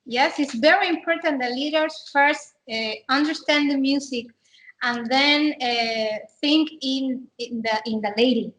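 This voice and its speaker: A woman from Peru with a Peruvian Spanish accent, chatting in halting, simple non-native phrases. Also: sits high, fairly bright tone, poor audio. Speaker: podcast guest, dance instructor. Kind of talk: casual chat.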